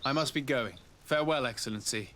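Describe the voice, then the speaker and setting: A man's voice: mid-range voice, fairly quick, sharp tone, natural voice. A man playing a polite, composed young nobleman in a video game, speaking formally. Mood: courteous. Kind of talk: video game dialogue acting.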